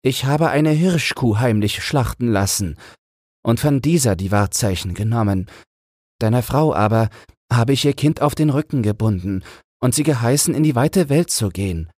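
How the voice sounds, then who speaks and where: A man's voice: mid-range voice, expressive, normal speed, darkish tone, no echo, studio quality, pitched above the performer's own voice, natural voice. A man voicing an old queen mother, reproachful yet merciful, in a fairy tale audiobook. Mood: consoling.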